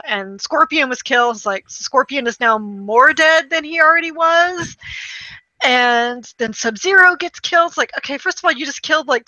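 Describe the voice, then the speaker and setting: A woman talks in a casual, expressive storytelling style, slipping into quoted reactions. Her voice sits on the high side and sounds clear-edged and bright. The recording is dry, with very little room sound. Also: no room sound, muffled recording. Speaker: podcast co-host reviewing movies. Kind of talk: casual chat, animated anecdote-telling.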